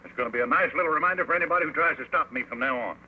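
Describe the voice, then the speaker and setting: An actor playing a ruthless, commanding outlaw chief who barks menacing orders in an old radio western. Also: male voice, a little high, rapid-fire, pushed and bright, poor audio. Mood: cold menace. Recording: hissy old radio broadcast recording.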